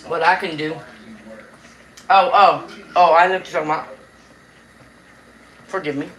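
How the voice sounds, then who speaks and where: Raspy woman livestreaming from her kitchen. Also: deep voice, fairly even intonation, moderate pace, a bit bright, a little hiss or hum, low-quality recording. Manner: chatty, greets viewers by name, drawling. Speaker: cooking livestream host. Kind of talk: casual livestream chat while cooking. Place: home kitchen, indoors. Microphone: tablet on the counter, close.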